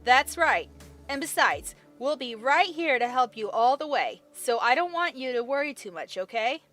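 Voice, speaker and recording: A woman plays a loyal schoolgirl friend in an audio drama, high-pitched, with music underneath. She sounds teasing and supportive. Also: expressive, moderate pace, a bit bright. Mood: teasing, encouraging. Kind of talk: fan audio drama acting.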